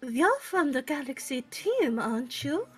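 Woman putting on a high-pitched pleading village girl. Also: big pitch swings, a little slow, faint music behind. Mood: worried. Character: pleading worried female villager with headache-stricken pets. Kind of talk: reading game dialogue in character.

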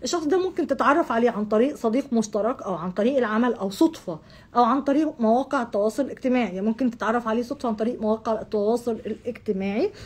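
A hoarse, husky Egyptian woman rattles off a horoscope reading at a quick clip. Her voice sits fairly high and sounds rough and breathy. She talks straight to the viewer in a chatty way and repeats herself. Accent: Egyptian Arabic. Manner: chatty, repeats words, addresses the viewer directly, gestures with hands. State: hoarse from shouting. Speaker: astrology channel host. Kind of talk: casual monthly horoscope reading. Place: home room with curtain backdrop.

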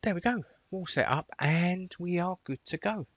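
A man narrating a step-by-step software tutorial in an informal, chatty instructional way. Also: high voice, expressive, normal speed, husky, no room sound. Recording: dull, lo-fi, dry close recording.

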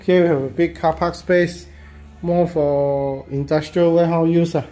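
A man, a real estate agent walking through a property and talking casually, halting and repeating himself with many fillers as he goes. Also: fairly high pitch, steady tone, warm tone, faint music behind. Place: walking through a house and yard, in and out of doors.

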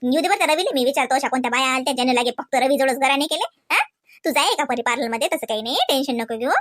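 A woman's voice: sits high, rapid-fire, a bit bright. A woman voicing a reassuring, protective, fussing sister-in-law in a comedy cartoon, insistent and chatty, with a Varhadi Marathi accent. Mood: coaxing.

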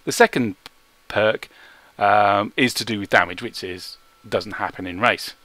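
A man giving measured, explanatory video game commentary in a self-deprecating way, recorded as a close-mic voice-over. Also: fairly low pitch, pushed and bright, no room sound. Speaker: gaming video creator.

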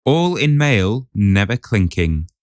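A man's voice: fairly low pitch, big pitch swings, takes it slowly, no echo. A man on a podcast setting a quiz, chatting casually and reading riddles aloud.

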